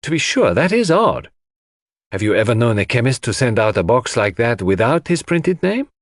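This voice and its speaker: A man voicing a calm, courteous detective who questions people precisely in an audiobook mystery. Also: medium pitch, normal speed, no room sound, clean studio sound, pitched above the performer's own voice. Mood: probing.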